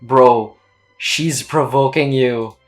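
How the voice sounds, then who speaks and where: A woman's voice: very deep voice, slow pace, soft background music. A woman streamer giving casual reaction commentary while playing a video game. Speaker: gameplay streamer.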